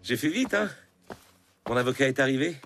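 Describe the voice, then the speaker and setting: An actor voicing a hurried, good-natured father in a cartoon. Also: medium pitch, expressive, fairly bright tone. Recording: music under dialogue.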